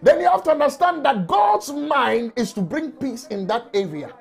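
A man preaching an emotional sermon from a small office on a lapel mic, often shouting and crying out. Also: very high-pitched, lively intonation, moderate pace, gravelly, faint music behind. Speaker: pastor.

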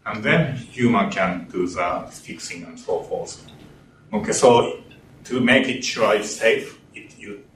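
Older man, lowish voice, non-native English, lecture, distant mic in a roomy hall, faint room hum. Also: slow pace, a bit bright. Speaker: engineering professor giving a lecture. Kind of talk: academic lecture.

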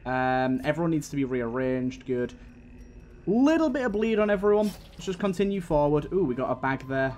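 A man's voice: a little high, very animated, moderate pace, a little mellow, faint music behind. A man giving casual gameplay commentary, thinking aloud and talking to the game's characters. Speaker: gaming video host.

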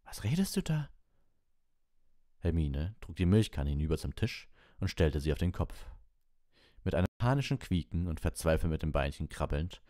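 Male storybook narrator: extremely low pitch, dark soft tone, close dry sound. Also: expressive, normal speed, no room sound. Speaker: audiobook reader.

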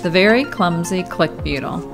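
A woman reading a storybook aloud to young children in an expressive, deliberate way. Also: on the low side. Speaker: storybook reader. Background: music and sound effects.

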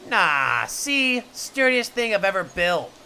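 Bright, lively, goofy reptile camper played by a man. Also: high voice, slow, slight background noise, full character voice. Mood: cheerfully defensive. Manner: playful, carefree, excitable. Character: laid-back goofy young male reptile camper. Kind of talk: comic dub character acting.

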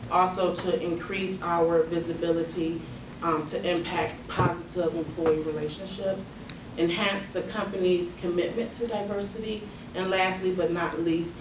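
Woman speaking from a podium, roomy. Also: low-pitched. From Detroit, Michigan. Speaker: club speaker giving an icebreaker speech. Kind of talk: prepared speech at a podium. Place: meeting room, indoors. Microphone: far camera. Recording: roomy and distant with noise.

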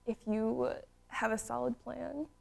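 A woman's voice: a little high, steady tone, unhurried, vocal fry, darkish tone. A young woman nursing student giving straightforward answers in a documentary interview. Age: young adult. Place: studio with plain backdrop.

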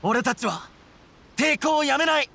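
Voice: high voice, animated, measured pace, vocal fry, pushed and bright, a little hiss or hum, full character voice. A performer playing an earnest, loyal and fiery young male resistance general in an anime game, speaking resolutely. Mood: defiant. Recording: prominent music under the voice.

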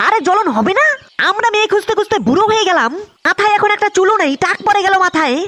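Old bachelor guy in a cartoon, really high squeaky voice, fast, grumbly village talk. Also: animated, sharp tone, slight background noise, full character voice. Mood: grumbling, envious. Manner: grumbling, colloquial. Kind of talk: comedy cartoon dialogue.

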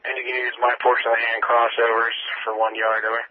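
A man's voice: medium pitch, monotone, fast talker. A man working as a railroad dispatcher, talking informally and procedurally over two-way radio. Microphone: radio handset or dispatch console over radio. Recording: tinny, noisy two-way radio transmission.

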